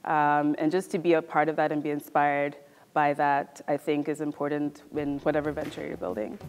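A young woman from Ghana speaks in a notably low, measured voice. A long room reverb trails her words in a big formal room. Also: monotone, darkish tone, soft background music. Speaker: MBA student. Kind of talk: testimonial to camera. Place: large elegant room indoors.

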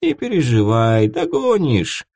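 A man voicing an old highland village elder in an audiobook, calm, terse and commanding. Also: unhurried, no room sound, studio quality, heavily acted. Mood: thoughtful, firm. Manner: terse, authoritative.

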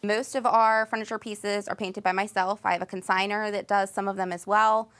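Plain-spoken American woman, medium pitch. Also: little pitch movement, brisk, a bit bright. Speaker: shop owner being interviewed. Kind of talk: informal interview explanation. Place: small home decor shop, indoors. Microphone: lapel microphone.

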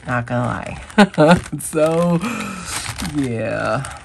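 A hoarse woman with a scratchy voice hosting a chatty, rambling coloring vlog. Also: a bass voice, extremely slow. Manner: chatty, rambling, with fillers and self-corrections. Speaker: craft channel host. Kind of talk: casual coloring vlog chat.

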